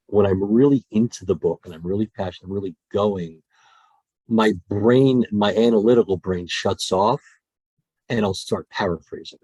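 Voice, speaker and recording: Husky, low-voiced man talking passionately. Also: normal speed, dry recording, rough recording. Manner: animated, many restarts, passionate about storytelling. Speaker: guest voice actor. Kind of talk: casual podcast conversation.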